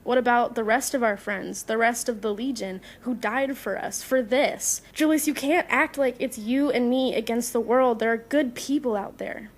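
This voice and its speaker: A woman's voice: fairly high pitch, moderate pace, few pauses, close to an everyday voice. A woman playing a troubled, principled young superhero in an audio drama, speaking sincerely with firm moral conviction. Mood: upset, firm.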